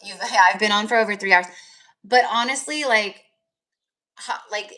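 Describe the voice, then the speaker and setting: Bright-voiced woman rambling on a live stream. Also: medium pitch, moderate pace. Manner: rambling, many fillers. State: voice tired and crackly. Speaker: tarot reader and energy healer. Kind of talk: casual live stream chat.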